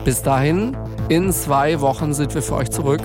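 A man's voice: a little high, moderate pace, over music. A young man speaking as a podcast co-host, informal and slangy, acting out his own thoughts as he talks. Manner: animated retelling with self-quotes and slang. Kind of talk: casual banter between co-hosts.

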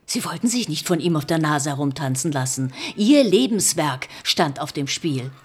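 A woman's voice: low voice, expressive, normal speed, husky. An actress playing a middle-aged police inspector and mother in a radio drama, direct and insistent in her questioning. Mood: accusing, firm. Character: probing female police inspector, mother of grown son. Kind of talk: naturalistic German radio drama acting.

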